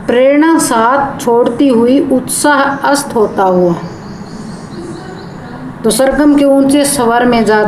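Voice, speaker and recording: Slow-paced woman teacher, echoey room. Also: on the high side, a little mellow. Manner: repeats and rephrases, glossing words. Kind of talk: explaining a poem line by line. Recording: echoey room with background noise.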